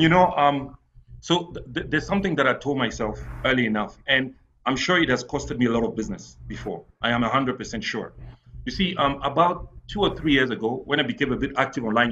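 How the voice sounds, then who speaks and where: A man's voice: medium pitch, fairly bright tone, slight background noise. A man from Cameroon, an entrepreneur, telling frank stories on a webinar panel and repeating phrases for emphasis. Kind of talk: candid storytelling on a webinar panel. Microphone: video call. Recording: dull lo-fi video call audio.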